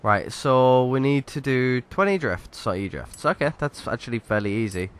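A man's voice: mid-range voice, animated, moderate pace, fairly bright tone, clean tone. Nasal man doing gameplay commentary. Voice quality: slightly nasal from a lingering cold. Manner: rambling, lots of ums and likes. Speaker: gaming YouTuber. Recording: game audio noise behind. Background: racing game sounds.

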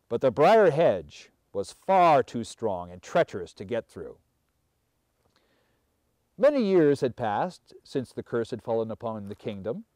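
A man in his fifties reading a fairy tale aloud as a storyteller, with measured, theatrical and deliberate phrasing. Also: medium pitch, warm tone, no room sound. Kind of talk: dramatic fairy tale narration.

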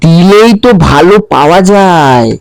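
Guy doing a prank call, playful mock official tone, bouncy pitch. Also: expressive, normal speed, clean tone, no echo. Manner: teasing, flirty, mock-official. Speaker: prank caller.

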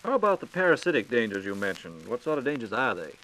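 An American man interviews on a 1940s radio transcription disc. His medium-pitched voice moves quickly with animated intonation, feeding scripted questions conversationally. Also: poor audio. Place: radio studio.

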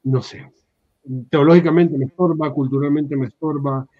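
A man's voice: a little high, fairly even intonation, normal speed. A Honduran man on a video call from a room at home, chatting at length in a panel and often restarting his sentences. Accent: Honduran Spanish. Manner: talkative, restarts mid-phrase. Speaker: guest. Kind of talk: casual panel chat. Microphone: webcam video call. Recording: dull lo-fi video call audio.

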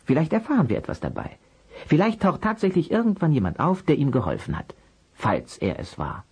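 An actor playing an amiable, patient private detective in a German children's radio play, husky, polite and a little hesitant. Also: male voice, on the high side, sing-song, brisk, a little mellow, exaggerated voice. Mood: thoughtful, planning.

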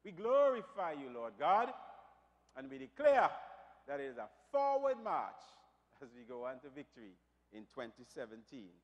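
A pastor preaching to his congregation with a slightly raspy, somewhat high voice. He swings between hushed prayer and fervent, shouted declarations. Long reverb from the church hall trails each phrase. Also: sing-song, normal speed, muffled recording. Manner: builds from quiet to loud, preaching fervour. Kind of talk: sermon.

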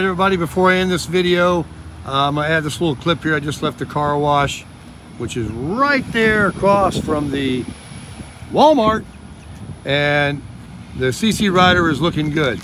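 An American man with a slightly high voice talks casually to his viewers as a vlogger. He rambles a little as he explains things. Clear car and outdoor noise runs behind him. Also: lively intonation, normal speed, fairly bright tone. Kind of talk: casual chat to camera. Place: in a car and outdoors in a parking lot.

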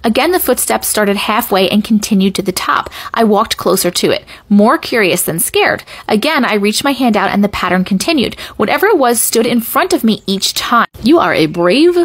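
A woman's voice: mid-range voice, lively intonation, normal speed, few pauses, husky. A middle-aged woman hosting a creepy story video, reading aloud expressively and dramatically with casual asides. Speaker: host reading viewer-submitted scary stories.

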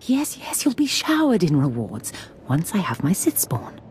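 A power-hungry dark sorceress for a game. Her voice is on the low side and sweeps theatrically, haughty and impatient, with faint noise behind it. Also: wildly swooping pitch, normal speed. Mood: impatient, scheming. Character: imperious female Sith sorcerer, obsessive, contemptuous, power-hungry. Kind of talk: video game cutscene acting.

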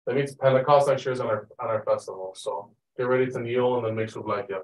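A man's voice: medium pitch, fast. Man speaking casually in an echoey room. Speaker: Bible study leader. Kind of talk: casual group teaching and chat. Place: meeting room with long conference table. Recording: roomy, picked up from a distance.

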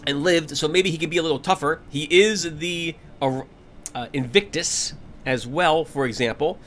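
A man hosting a gaming channel, talking casually and candidly to viewers over gameplay, stuffy with a cold, rambling with restarts and soft laughs. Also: a little high, normal speed, a little hiss or hum. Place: at home in front of his computer.

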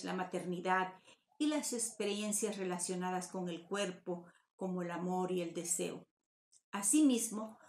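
Older woman, Mexican Spanish accent, formal paper reading, webcam call, roomy echo. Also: medium pitch. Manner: measured, formal reading pace. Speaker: academic conference speaker. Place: home study with bookshelves, indoors. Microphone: webcam on video call.

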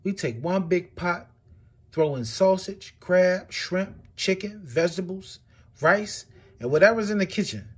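A man reading a picture book aloud with expressive, swinging delivery. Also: breathy edge. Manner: expressive storytelling with big swings in pitch and loudness.

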